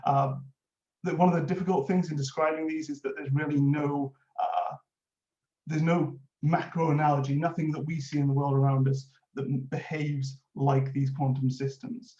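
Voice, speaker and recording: A man's voice: on the high side, flat delivery, moderate pace, warm tone. A man with a Welsh accent giving a conference talk, explaining a technical subject with frequent filler sounds. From Wales. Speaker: conference speaker, innovation lead. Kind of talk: presentation talk with slides. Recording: roomy, dull lo-fi audio.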